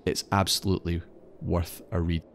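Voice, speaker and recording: Guy narrating a podcast, gravelly low voice, explains stuff in a measured way. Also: level tone, slight background noise. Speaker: podcast host. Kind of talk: semi-scripted storytelling narration.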